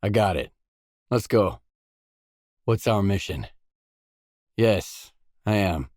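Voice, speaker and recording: A wary stalker from a video game, a blunt loner with a low voice. He talks in terse, matter-of-fact questions and short replies. The recording is dry and close, with no room on it. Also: expressive, slow pace, darkish tone, no echo. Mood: guarded, businesslike. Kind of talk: video game dialogue lines.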